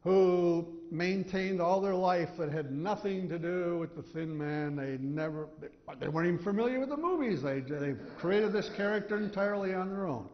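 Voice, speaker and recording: A man's voice: high voice, animated, moderate pace, hardly any pauses. American man lecturing in a reverberant hall. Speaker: author giving convention talk. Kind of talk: informative lecture to an audience. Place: convention hall. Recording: slightly muffled hall recording, long reverb.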